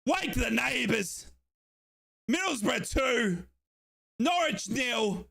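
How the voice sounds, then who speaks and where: Young aussie guy streaming a footy watchalong, hoarse from yelling, high sharp voice, keeps repeating the same lines. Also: big pitch swings, takes it slowly. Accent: Australian. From Australia. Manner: shouting and repeating himself in celebration. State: hoarse from shouting. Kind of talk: excited live football commentary.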